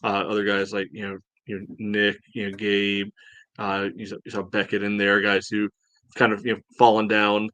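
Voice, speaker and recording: A man gives casual sports analysis in a fairly low voice. His intonation stays flat while his phrasing is loose and conversational. The sound is close and dry. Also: moderate pace, no echo. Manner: conversational, peppered with "you know". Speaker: soccer podcast co-host.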